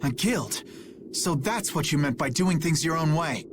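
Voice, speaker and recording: A man's voice: fairly high pitch, animated, measured pace, distant mic, noisy background. A man playing an earnest, dutiful, loyal young knight in an anime video game. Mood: concerned.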